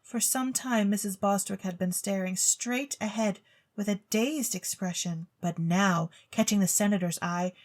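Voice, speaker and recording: A woman reading a story as a theatrical narrator, with expressive, precise literary delivery. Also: medium pitch, a little slow. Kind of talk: theatrical scripted storybook narration for an audio drama. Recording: music bed under the voice. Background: music.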